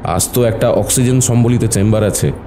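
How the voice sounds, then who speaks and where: A man acting a calm, patient, shrewd doctor turned detective in an audio drama, measured and mentoring. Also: lowish voice, noisy background, close to an everyday voice. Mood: intrigued.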